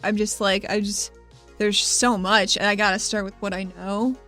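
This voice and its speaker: A woman's voice: mid-range voice, flat delivery, normal speed, pushed and bright, soft background music. A woman playing a tabletop game in character, talking in a bubbly, playful and enthusiastic way. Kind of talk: in-character role-play.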